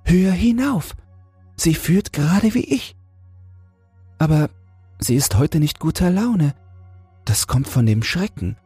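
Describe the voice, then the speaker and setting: A man's voice: fairly high pitch, expressive, slow, long pauses, dark tone, breathy edge, no echo, soft background music, voice pushed up, full character voice. A man voicing a naive, wistful little female toad in an earnest storybook manner. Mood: eager.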